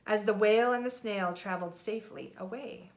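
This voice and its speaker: A woman narrates a children's storybook in the manner of a mother reading at home. Her pitch is middling, and the delivery is expressive storytelling. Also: fairly quick. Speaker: mother reading picture books aloud.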